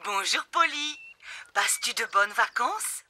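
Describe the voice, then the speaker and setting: Gentle elderly pig grandmother for a preschool cartoon; lilting, unhurried, amused. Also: on the high side, expressive, full character voice. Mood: fond, polite. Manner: gentle, indulgent, measured. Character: kindly, gently amused old granny pig.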